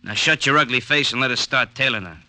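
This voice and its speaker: An actor playing a smug gangster boss who speaks in stiff, over-formal English and long fancy words, in a 1940s radio drama. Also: male voice, medium pitch, quick pace, sharp tone. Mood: pompous, menacing. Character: pompous big-worded gangster man, menacing and smug. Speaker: gang leader.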